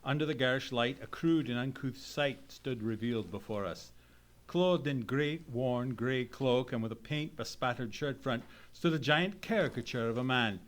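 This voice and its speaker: Male actor as earnest gentleman sidekick, old-time radio drama, formal storytelling, medium pitch. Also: moderate pace, lightly acted. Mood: dramatic, suspenseful.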